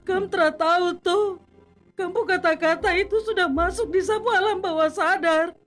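A woman's voice: extremely high pitch, moderate pace, a bit bright, soft background music. A woman playing a hurt young office worker who speaks out tearfully against her friends. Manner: tearful, earnest confronting. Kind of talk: comedy skit acting.

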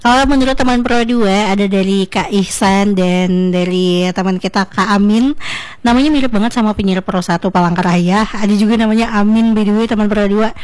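A woman's voice: medium pitch, a little fast, darkish tone. Chatty woman hosting radio. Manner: conversational, addresses listeners directly with rhetorical questions. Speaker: radio host. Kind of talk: casual chatty radio presenting.

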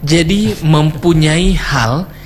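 A man's voice: a little high, slow pace. A man hosting a casual radio interview, agreeable, often affirming briefly and echoing the guest's words. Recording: clear background noise, clicks.

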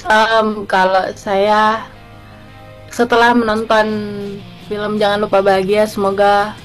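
Hesitant woman guest with filler pauses. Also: medium pitch, level tone, soft background music. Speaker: film actress, guest. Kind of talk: radio interview answers.

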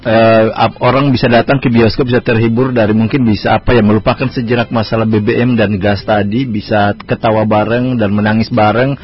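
A man's voice: lowish voice, level tone, fairly quick, few pauses, highs cut off. A man, hosting a casual radio interview and chatting freely, often repeating "siap, siap". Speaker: radio host.

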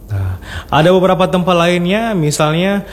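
A man's voice: high-pitched, sing-song, normal speed. A man speaking as a business-owner guest in a casual radio interview, conversational and illustrating with acted-out examples. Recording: reverberant hall-like room.

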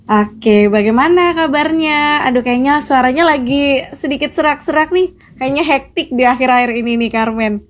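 High-voiced chatty woman presenter. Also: normal speed. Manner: friendly chatty, sprinkles English. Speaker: radio presenter. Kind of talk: radio interview.

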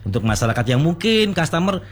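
A man hosting a casual interview, often pausing to search for words. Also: fairly high pitch, big pitch swings, slight background noise. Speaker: host interviewing food business owners.

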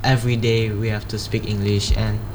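A teenage student with an Indonesian accent, giving classmates an earnest, halting pep talk and correcting himself as he goes. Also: on the low side, level tone. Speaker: student guest. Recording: background ambience noise.

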